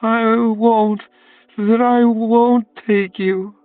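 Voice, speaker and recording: Man voicing a high, halting, frightened beggar woman. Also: little pitch movement, no echo, far from the mic, phone-quality sound, faint music behind, exaggerated voice. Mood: anxious, reluctant. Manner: halting, stammering. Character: frightened young beggar woman, timid, pleading wife.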